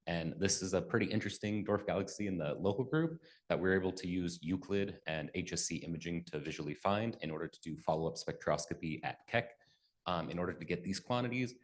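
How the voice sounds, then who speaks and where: A young man, a student, giving a relaxed conference flash talk that trails off softly. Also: low-pitched, fast. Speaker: student presenter.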